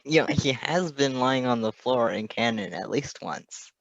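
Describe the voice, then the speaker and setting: A woman's voice: a bass voice, lively intonation, measured pace, dry recording, highs cut off. A woman chatting casually, with laid-back, amused, brief replies. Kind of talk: casual chat, listening and reacting.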